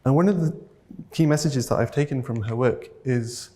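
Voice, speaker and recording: A man's voice: mid-range voice, moderate pace. A man from North Wales giving a thoughtful keynote talk, earnest and a little hesitant as he searches for words. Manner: earnest and gently enthusiastic. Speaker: keynote speaker. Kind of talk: reflective conference talk. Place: talk venue, audience present.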